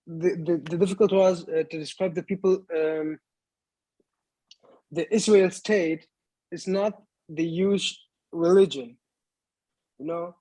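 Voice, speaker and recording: A man in his twenties from Lebanon, an actor speaking in a panel conversation in halting English, often repeating words as he searches for them. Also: a little high, warm tone, no room sound, low-quality recording. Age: 20s.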